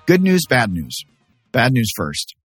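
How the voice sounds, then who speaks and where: Animated male promo narrator. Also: mid-range voice, slow pace, slightly rough, no echo, studio quality, faint music behind. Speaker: advert narrator.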